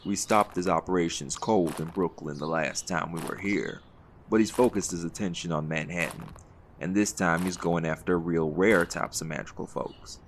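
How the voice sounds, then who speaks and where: A very low-voiced commander played by a man speaks with calm, folksy authority. He sounds firm and in charge, an audio drama leader of magical hunters, over a noticeable bed of background noise. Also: normal speed, natural voice. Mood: briefing, measured. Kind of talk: audio roleplay acting.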